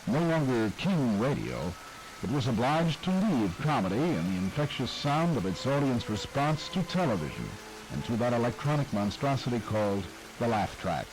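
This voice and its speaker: A man giving measured, reflective scripted narration for a documentary. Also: mid-range voice, very animated, slight background noise, low-quality recording.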